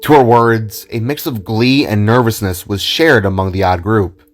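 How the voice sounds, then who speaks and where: A man narrating a scripted audio drama in a measured, theatrical storytelling manner. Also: on the low side, soft background music. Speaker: narrator and reader of all parts.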